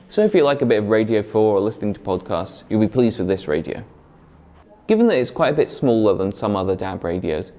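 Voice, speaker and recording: A young man with a somewhat low voice reads a product review script. His delivery is clear, even and friendly, in the manner of a retail presenter.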